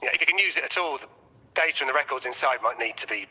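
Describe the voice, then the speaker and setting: A man playing a cagey, casual informant in a video game, husky and offhand, heard through a radio-like call filter. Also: mid-range voice, animated, racing, sharp tone, exaggerated voice. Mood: hesitant. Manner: offhand, hesitant, evasive. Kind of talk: scripted game dialogue. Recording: dull radio call with long reverb.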